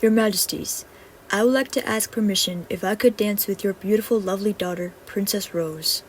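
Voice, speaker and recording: A woman's voice: mid-range voice, moderate pace, a little hiss or hum, lightly acted, low-quality recording. A woman voicing a modest, downhearted young male wizard in an earnest, plain-spoken way. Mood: courtly.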